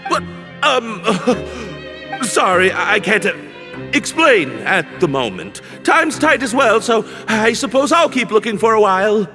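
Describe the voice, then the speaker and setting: A man's voice: sits high, very animated, a little slow, pushed and bright, full character voice. A flustered, stammering young guy. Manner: nervous, stammering. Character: flustered young man hunting for his hat. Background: game music.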